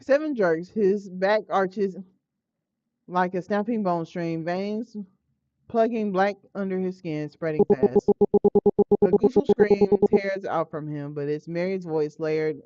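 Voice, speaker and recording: An American woman streaming a visual novel, switching between dramatic reading and slangy, profane, expressive reactions. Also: on the low side, measured pace, no echo. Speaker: gaming streamer. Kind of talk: animated let's play commentary and dramatic read-aloud of a visual novel. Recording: slightly dull audio with music behind. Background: game music.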